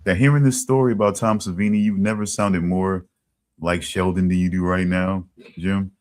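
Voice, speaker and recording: A man's voice: sits low, steady tone, moderate pace. A man in casual chat, cutting in hesitantly to tease a friend.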